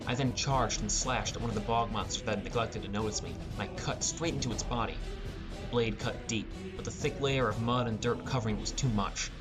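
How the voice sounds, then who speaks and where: A man's voice: mid-range voice, brisk, loud music behind the voice. A man narrating a scripted first-person fantasy adventure as an audiobook.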